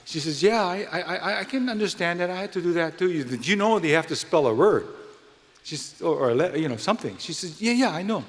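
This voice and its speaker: A man's voice: fairly high pitch, expressive, a little fast. Hawaiian-accented man speaking in a reverberant hall. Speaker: event host and speaker. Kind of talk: live welcome speech with jokes and introductions. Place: large indoor sports venue, addressing guests. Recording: long hall reverb from venue PA.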